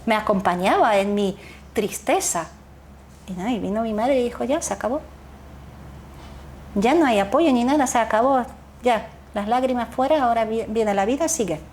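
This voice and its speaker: Animated woman telling family stories. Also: a little high, moderate pace, pauses often, slight background noise. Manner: animated, laughs often, restarts words. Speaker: guest, association founder. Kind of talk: casual interview storytelling.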